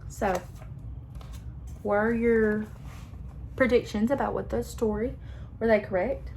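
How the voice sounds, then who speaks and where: A young woman teacher reading a picture book aloud to her class in a slow, expressive storytelling manner. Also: fairly high pitch, vocal fry, slight background noise.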